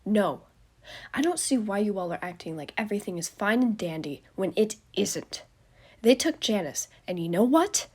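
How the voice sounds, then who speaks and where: A woman voicing an anxious young man who spirals into panicked questions and angry shouting, her voice husky. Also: mid-range voice, expressive, moderate pace.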